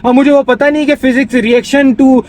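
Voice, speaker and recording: A very high-pitched Pakistani father played by a man presses his point on a prank call. He is condescending and fussy, circling back over his words and dropping in English. Faint car noise sits behind him. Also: steady tone, normal speed, a little mellow. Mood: condescending, probing. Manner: insistent, repeats himself, mixes English into Urdu. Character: pushy, condescending, fussy father seeking a tutor. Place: inside a car while driving.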